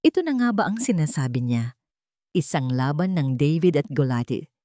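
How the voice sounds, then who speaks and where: A woman's voice: low voice, mellow, dry recording, studio quality. A woman storyteller delivering dramatic scripted narration.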